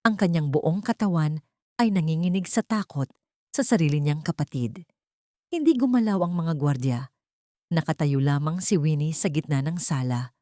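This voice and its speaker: A woman audiobook narrator reading a dramatic story from a script. Her voice sits fairly low but moves expressively, and the recording is dry. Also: a little mellow, no echo.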